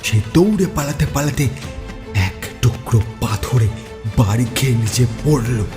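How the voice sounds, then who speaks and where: A man narrating a scripted horror story in a suspenseful way, deliberate and with dramatic pauses. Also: medium pitch, lively intonation, music in the background.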